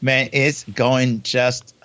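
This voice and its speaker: A man's voice: mid-range voice, flat delivery, very slow, a bit bright, dry recording. A man from Jamaica with a Jamaican accent, co-hosting a podcast over a remote link. He talks expansively, repeating and restarting his words. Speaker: podcast co-host. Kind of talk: casual podcast chat. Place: home in South Florida, remote recording. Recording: dull, lo-fi remote audio.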